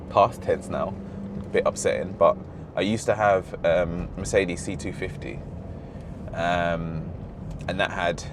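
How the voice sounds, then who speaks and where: A very deep-voiced man talks casually while driving, rambling and correcting himself, his voice slightly hoarse. He is recorded inside a moving car. Road and engine noise hum clearly underneath. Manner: rambling, self-correcting, easygoing. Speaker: car reviewer, vlog host.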